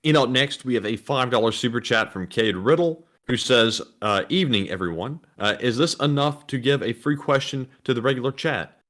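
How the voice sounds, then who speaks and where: A man co-hosting a live stream, reading out viewer messages in a calm, fairly monotone voice. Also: mid-range voice, normal speed, few pauses. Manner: calmer, more monotone voice. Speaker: co-host reading donations.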